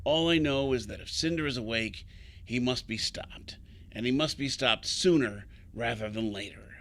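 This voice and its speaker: Forceful, troubled older man played by an actor. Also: medium pitch, close to an everyday voice. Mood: urgent, grave. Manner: halting, grave, insistent. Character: troubled, weary older man, secretive guide fearing an ancient evil. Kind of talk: audio drama acting.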